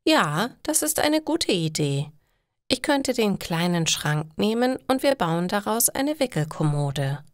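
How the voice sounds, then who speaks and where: A caring middle-aged mother, voiced by a woman narrator, gentle and practical. Her storybook lines are slow and encouraging, recorded dry and close. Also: medium pitch, dry recording, pitched above the performer's own voice. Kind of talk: acted dialogue in a slow learner's audio story.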